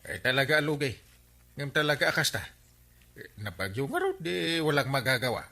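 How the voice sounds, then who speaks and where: A man's voice: medium pitch, big pitch swings, normal speed, vocal fry, bright, forceful tone, exaggerated voice. A man with an Ilocano Filipino accent playing a folksy, exasperated village captain in a radio comedy drama, with hesitant interjections. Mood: teasing, animated.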